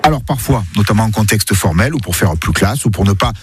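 A man's voice: fairly low pitch, animated, fairly quick, breathy edge, no room sound, studio quality, soft background music. A man from Provence in southern France giving a conversational, explanatory radio segment in a didactic manner. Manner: didactic, demonstrating pronunciations. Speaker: radio columnist on accents and linguistics.

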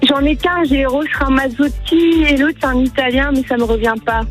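A woman phones in to a radio game. She has a very high voice and chats casually, with soft music in the background. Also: fairly even intonation, a little fast. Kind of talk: casual chat. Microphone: phone call to radio.

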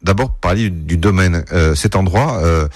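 A man's voice: low-pitched, moderate pace, breathy edge, dry recording, clean studio sound. A man working as a radio reporter, interviewing a guest politely with some hesitation.

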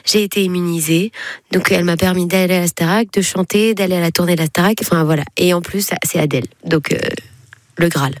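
A woman's voice: lowish voice, steady tone, fast, no room sound. A young woman, a singer being interviewed in a radio studio, talking chattily with frequent hesitations. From Belgium. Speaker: guest singer. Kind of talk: casual interview chat.

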